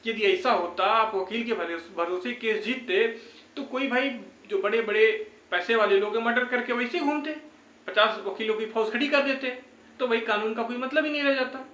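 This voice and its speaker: A man's voice: very high voice, moderate pace, bright, forceful tone. A young man giving an informal, reassuring update to viewers, often repeating his phrases. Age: young adult. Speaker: YouTube exam-update presenter. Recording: echoey, roomy sound.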